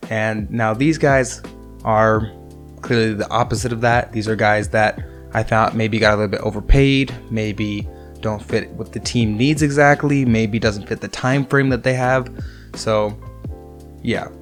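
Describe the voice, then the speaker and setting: A hoarse young man, getting over a sickness, hosting a casual, opinionated monologue about sports signings. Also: fairly low pitch, fairly even intonation, measured pace. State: hoarse from illness. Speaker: host. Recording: faint music underneath. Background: soft background music.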